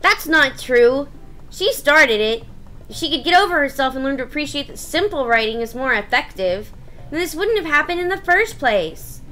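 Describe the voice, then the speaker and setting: A woman voicing a bratty, prickly high-school girl in a visual novel, blunt and huffy in manner. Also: very animated, normal speed, bright, forceful tone, full character voice. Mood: indignant. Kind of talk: visual novel voice-over read aloud.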